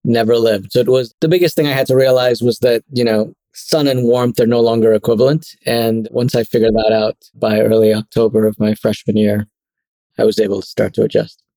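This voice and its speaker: Man from Trinidad, interview guest, measured and self-deprecating, dry recording. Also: medium pitch, steady tone, dark tone, no room sound, low-quality recording. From Trinidad and Tobago. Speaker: guest, product executive. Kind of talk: casual interview chat.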